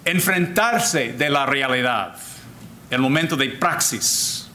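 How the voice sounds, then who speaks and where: An older man, a Jesuit priest whose first language is English, giving a deliberate, formal talk with frequent restarts. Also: mid-range voice, lively intonation, pushed and bright. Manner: deliberate, repeats and restarts words, switches to English phrases. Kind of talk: slow formal lecture.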